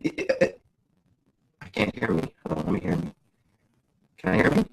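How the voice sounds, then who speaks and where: A man's voice: low voice, huge pitch swings, measured pace, gravelly, a little hiss or hum, rough recording. A man hosting a casual livestream, who laughs often, repeats himself and answers his chat. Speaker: livestream host.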